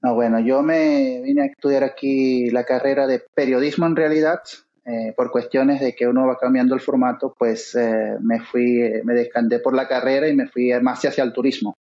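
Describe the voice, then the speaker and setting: Halting man on a lo-fi call. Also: medium pitch, steady tone. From Venezuela. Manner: halting, many fillers and pauses. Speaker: guest author. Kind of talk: interview conversation.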